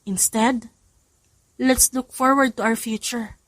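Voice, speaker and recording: A woman's voice: fairly high pitch, fairly even intonation, slow, a bit bright, dry recording. Woman playing an earnest, protective young wife. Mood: soothing.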